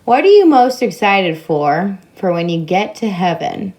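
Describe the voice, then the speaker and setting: A woman asks casual interview questions, gently prompting and giving brief acknowledgments. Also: medium pitch, sing-song, a little slow.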